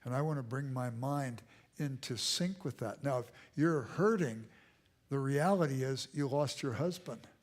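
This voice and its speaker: A man's voice: medium pitch, a little slow. A man giving a church lecture with audience questions, in an emphatic, repeating cadence that sometimes sinks to a near whisper. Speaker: guest speaker. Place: church auditorium.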